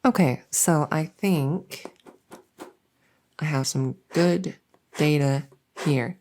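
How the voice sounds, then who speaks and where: A woman playing an anxious, overworked but friendly monster under the bed in a comedic ASMR roleplay, rambling and stammering softly, often slipping into a whisper. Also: low voice, very slow, exaggerated voice. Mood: friendly, winding down. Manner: rambling, nervous, stammering and repeating herself.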